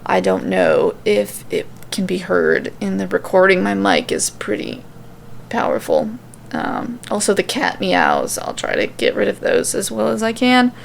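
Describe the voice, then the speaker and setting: A woman's voice: medium pitch, wildly swooping pitch, unhurried, vocal fry, slight background noise. A woman telling a scripted story as its podcast host, with casual asides.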